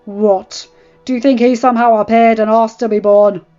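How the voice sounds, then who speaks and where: A bitter, defensive noblewoman snapping back in a high, sharp voice, as a woman reading aloud puts her on. The sound is dull and lo-fi, with faint music behind it. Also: fairly even intonation, moderate pace, smooth, lightly acted. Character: bitter, defensive noblewoman, middle-aged wife.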